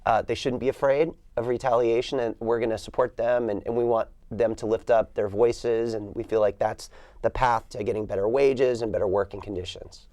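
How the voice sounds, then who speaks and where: A man speaks as a union organizer in a steady, confident way, turning his answers into rallying phrases. His voice is mellow and darker in tone. The studio sound is close and dry. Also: mid-range voice, fairly quick, no echo. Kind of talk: interview answers.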